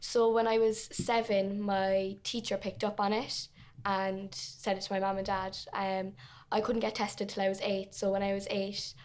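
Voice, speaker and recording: Teenage girl, flat steady voice. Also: mid-range voice, normal speed. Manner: plain, steady, a bit shy with ums. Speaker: guest. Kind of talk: casual interview answers.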